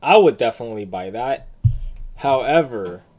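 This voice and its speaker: A man's voice: mid-range voice, lively intonation, moderate pace, a little mellow, soft background music. A man giving blunt, informal reaction commentary as a gaming video creator, swearing casually. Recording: faint background noise, keyboard typing. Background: computer keyboard typing and clicks.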